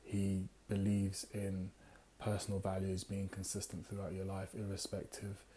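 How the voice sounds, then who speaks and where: A tired man with a slightly raspy voice hosts a casual book review, talking unhurriedly with many fillers and reading passages aloud. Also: low voice, completely flat delivery, normal speed, dark tone, a little hiss or hum. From United Kingdom. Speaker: book club host.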